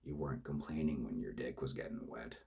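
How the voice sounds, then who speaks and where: Rough, smoke-worn killer, very low and husky, slow contempt, read by a male narrator. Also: darkish tone, full character voice. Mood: contemptuous. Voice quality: rough, tobacco-cured.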